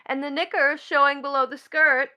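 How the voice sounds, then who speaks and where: An actress playing a bitter, proud young stepdaughter in a stage play, with an emphatic, mocking, dramatic delivery. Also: female voice, high voice, little pitch movement, normal speed, bright, forceful tone. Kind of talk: theatrical stage play acting.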